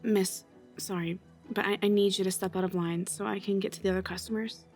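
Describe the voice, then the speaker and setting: Airline desk agent played by a woman: harried, polite, apologetic, mid-pitched voice, faint background bed. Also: steady tone, fairly quick, a little mellow, soft background music, close to an everyday voice. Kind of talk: audio roleplay acting.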